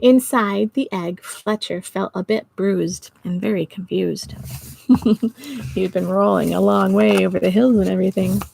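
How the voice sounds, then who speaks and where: A middle-aged or older woman reading a storybook to children, expressive and giggly, pausing to chat with her listeners. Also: medium pitch, creaky, husky, rough recording. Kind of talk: storytime read-aloud for children. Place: small indoor home office.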